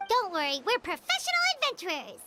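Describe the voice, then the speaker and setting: A woman's voice: extremely high pitch, huge pitch swings, fast, very noisy, heavily acted. A woman voicing a small, childlike, eager fairy companion in a video game, bubbly and cheeky. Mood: confident.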